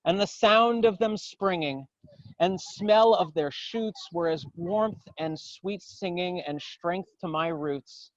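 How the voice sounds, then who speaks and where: A man gives a dramatic poetry recitation outdoors, in solemn, declamatory, measured phrases. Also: high voice, no room sound, muffled recording. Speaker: poetry reader. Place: outdoors in a garden.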